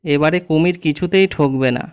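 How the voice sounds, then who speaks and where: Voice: mid-range voice, moderate pace, a little mellow, clean tone. A storyteller narrating a scripted children's folktale for a cartoon.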